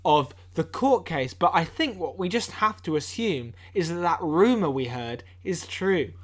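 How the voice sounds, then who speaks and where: A man playing an eager amateur investigator on a comedy podcast, sharing grim revelations in a conspiratorial, deadpan way. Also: on the high side, a little slow. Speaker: podcast co-host. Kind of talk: mock-serious comedy podcast banter.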